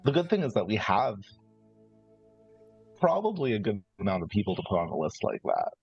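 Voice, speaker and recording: A man improvising a calculating brother from a ruthless 1950s family in a tabletop roleplay, speaking in measured, halting phrases. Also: on the low side, wildly swooping pitch, gravelly, darkish tone, breathy edge, no room sound, exaggerated voice. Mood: cautious, pragmatic. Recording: slightly dull audio with music. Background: background music.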